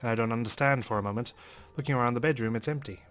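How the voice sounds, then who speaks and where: A man's voice: medium pitch, rapid-fire, fairly bright tone. A man reading a visual novel aloud as a let's play host, in a dramatic first-person narration style. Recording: music under the voice.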